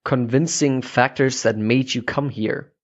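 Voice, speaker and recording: A man's voice: mid-range voice, fairly even intonation, a little slow, lo-fi sound. A man hosting a casual interview, chatting informally and asking questions. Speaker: podcast host, interviewer.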